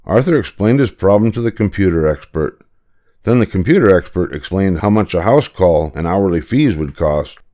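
A man's voice: deep voice, animated, normal speed, warm tone. An older man reading a children's picture book aloud as narrator. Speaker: storybook reader.

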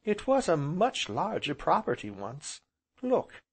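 A gentle young woman of an old romance story, voiced by a man. She speaks softly and earnestly, with a sweet manner, a raised pitch and wide melodic swings. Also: huge pitch swings, takes it slowly, husky, exaggerated voice. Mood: pleased, wistful. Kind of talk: audiobook character voice.

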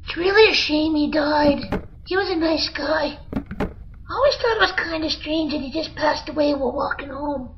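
Impulsive male monkey kid, very high and squeaky, played by a woman; muffled lo-fi radio-drama sound. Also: moderate pace, a little hiss or hum, lightly acted. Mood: childish. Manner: impulsive, whiny, easily distracted. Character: childish excitable young male monkey. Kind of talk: amateur old-time radio parody. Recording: muffled lo-fi audio with music.

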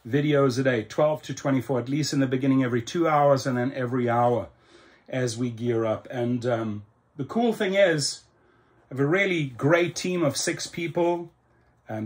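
A middle-aged man with a South African accent, talking casually to camera in a room to promote his channel, upbeat and salesy with lots of ums. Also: mid-range voice. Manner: upbeat, salesy, with many ums and slow emphatic phrases. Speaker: YouTube channel host. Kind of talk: casual promotional vlog talk. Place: indoor room in front of a computer screen.

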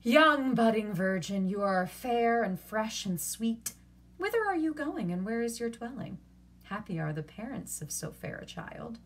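Woman as obedient storybook wife, gushing, flowery, over-polite, raised pitch, slightly husky. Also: big pitch swings, a little slow. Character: newly obedient wife, sweetly gushing and eager to please.

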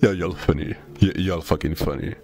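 A man's voice: low voice, steady tone, normal speed, darkish tone, faint music behind. A young man from Senegal, raised in France, chatting casually with his stream viewers in a laid-back, slangy way, swearing freely. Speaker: VTuber streamer.

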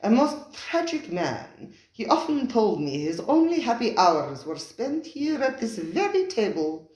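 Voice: medium pitch, very animated, a little slow, a little mellow, pitched above the performer's own voice. A reader voicing Spiro, a vehement, theatrical male restaurant owner who talks in a grandly emphatic way and tags his questions with "eh?". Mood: grand, insistent. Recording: roomy, echoey recording.

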